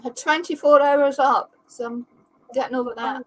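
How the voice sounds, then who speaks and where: A woman's voice: high voice, steady tone, moderate pace, low-quality recording. A woman joining a casual podcast call, giving short, dry remarks. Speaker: podcast guest. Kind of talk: casual chat on a video call.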